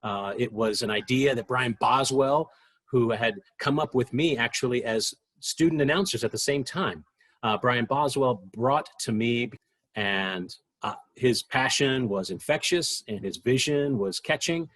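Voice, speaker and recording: Mid-pitched American man in an interview. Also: measured pace, a bit bright. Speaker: radio drama team member, interviewee. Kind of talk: interview recollection.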